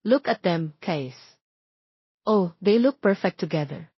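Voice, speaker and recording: A woman's voice: lowish voice, animated, takes it slowly, husky, full character voice. A woman voicing a cheeky young playboy who teases his friend in playful banter, in a romance story. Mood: teasing. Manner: teasing, playful Taglish banter. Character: teasing playboy young man, rich man's cheeky friend. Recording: muffled lo-fi, roomy echo.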